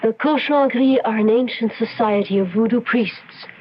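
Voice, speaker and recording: A woman's voice: fairly high pitch, moderate pace, natural voice. Actress as sly, seductive mystery woman, muffled radio. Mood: knowing. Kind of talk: old-time radio drama acting. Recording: dull, noisy old radio recording.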